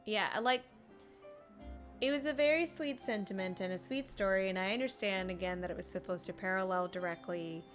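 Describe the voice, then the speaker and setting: A woman giving casual, expressive and playful reaction commentary as she streams a game. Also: mid-range voice, fairly quick, soft background music.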